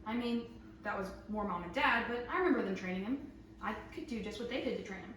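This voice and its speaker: A woman's voice: medium pitch, quick pace, fairly bright tone, lightly acted. A woman playing a teasing, protective older sister in a table read of a survival drama, speaking casually. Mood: playful, insistent. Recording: echoey room, distant mic, faint noise.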